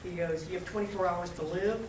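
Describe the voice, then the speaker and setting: A woman presenter who is unwell and a little raspy, lecturing teachers at a workshop with jokes and direct asides to the group. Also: fairly low pitch, steady tone, fairly quick. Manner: joking, addresses the group directly. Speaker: training presenter. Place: school meeting room. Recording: roomy, distant mic, clear noise.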